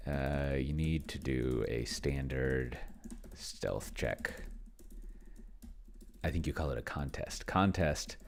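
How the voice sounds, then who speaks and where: Male gaming host, very low register with heavy fry; casual, musing tutorial commentary, halting delivery. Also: slight background noise. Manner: halting and musing, thinks aloud while typing. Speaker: host playing a tabletop roleplaying game with an AI game master.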